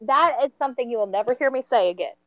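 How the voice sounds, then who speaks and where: A woman co-hosting a casual podcast chat, blunt and teasing, swearing freely, on a quiet, muffled home mic. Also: on the high side, expressive, quick pace, no room sound. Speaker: co-host and chat monitor.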